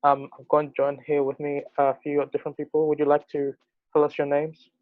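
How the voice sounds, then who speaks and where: Male discussion host, slightly high pitch, flat intonation, casual questioning, thin phone-like video-call audio. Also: fairly quick, dry recording.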